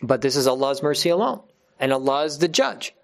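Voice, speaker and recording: A man's voice: mid-range voice, lively intonation, a little fast, gravelly, slight background noise. A man giving an unscripted religious talk to his congregation, earnest and emphatic, repeating key phrases for weight.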